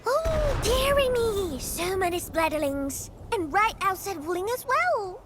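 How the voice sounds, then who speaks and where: A woman's voice: very high-pitched, big pitch swings, a little slow, over music, full character voice. An actress voicing a brash young feline girl in a video game, boastful and scrappy, with playful taunts and slangy talk. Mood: cheeky, playful.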